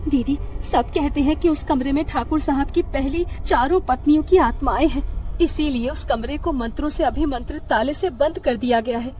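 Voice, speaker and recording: एक महिला डरावनी कहानी में मालिक से डरने वाली, राज़ छिपाती घबराई नौकरानी का किरदार निभा रही है।. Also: female voice, high-pitched, normal speed, dark tone, natural voice. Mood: hushed warning. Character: anxious, secretive housemaid afraid of her master. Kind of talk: dramatised horror story dialogue.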